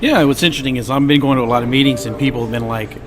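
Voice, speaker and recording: Casual male podcast co-host, medium pitch. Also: very fast, over music. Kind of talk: casual chat.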